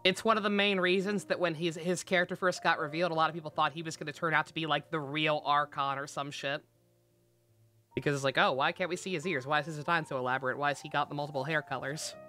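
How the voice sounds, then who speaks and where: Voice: medium pitch, fairly even intonation, fast, pushed and bright. A game streamer chatting casually and reacting on a live stream, informal, joking and sweary, close on the mic. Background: game music.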